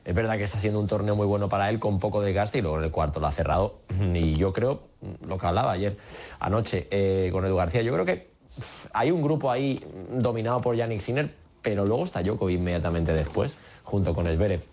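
Very fast, low-voiced Spanish tennis correspondent, male. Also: darkish tone. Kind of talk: unscripted radio match analysis.

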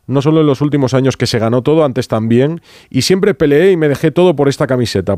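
A man from Spain hosts a sports radio programme with a Castilian accent. His pitch is average and his delivery is conversational. The sound is close and dry, as in a studio. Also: a little mellow, no echo. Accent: Spanish from Spain. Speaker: radio host.

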